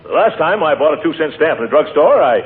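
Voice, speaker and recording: An actor playing a pompous, huffy, stingy fat neighbor in a 1940s radio comedy. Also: male voice, a little high, big pitch swings, fast. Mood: fussy. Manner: indignant, theatrically exasperated. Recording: tinny noisy old radio broadcast.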